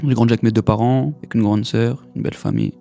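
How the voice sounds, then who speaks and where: A young man in his twenties from the Paris region rattles off his story at a rapid clip, slangy and full of repeated words. His voice sits on the mellow, dark side. Faint music plays behind him. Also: mid-range voice, fairly even intonation, gravelly. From Paris region, France. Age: 20s. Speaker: guest giving a testimony. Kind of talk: casual testimony.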